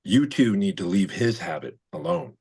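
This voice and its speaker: A man's voice: on the low side, expressive, normal speed, creaky, darkish tone, husky, exaggerated voice. A man playing a stern, admonishing clergyman in a comedy table read.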